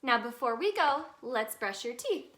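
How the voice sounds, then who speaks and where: A woman voicing a gentle, instructive mother tiger from a children's storybook, reassuring and sing-song. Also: on the high side, big pitch swings, a little slow.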